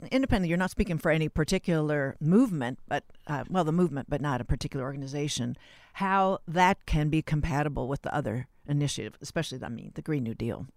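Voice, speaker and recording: A radio host interviewing a guest live and unscripted, with halting, circling phrasing. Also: medium pitch, dry recording, studio quality.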